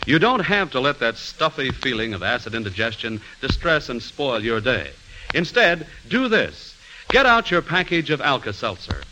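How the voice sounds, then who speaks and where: Male announcer, animated pitch swings, emphatic salesman delivery, vintage radio commercial, faint noise. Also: low-quality recording.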